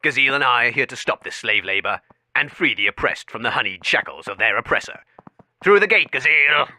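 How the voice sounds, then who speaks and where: A man's voice: mid-range voice, animated, brisk, sharp tone, no room sound, poor audio. An actor, a man, playing a self-important, deluded investigative crusader, declaiming in a radio comedy. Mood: heroic. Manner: grandiose, declamatory. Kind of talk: melodramatic radio report parody.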